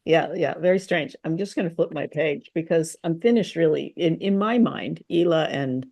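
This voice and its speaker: Creaky-voiced woman, informal and enthusiastic. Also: low-pitched, moderate pace, dark tone, no room sound. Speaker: radio show co-host. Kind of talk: casual chat about stories.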